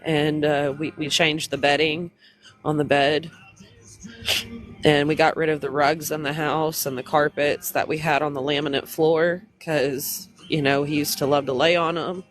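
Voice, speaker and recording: A man on a phone live stream, crying and stuffy from congestion, speaking haltingly through grief. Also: on the high side, level tone, fairly bright tone, faint music behind. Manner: grieving, halting, tearful. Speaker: livestream host. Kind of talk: emotional live stream talk.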